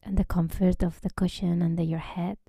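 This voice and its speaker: A woman leads a guided relaxation in a slightly low, very mellow voice. She is gentle and unhurried, often easing into a breathy near-whisper. The sound is close and dry. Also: flat delivery, no echo. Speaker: yoga nidra teacher.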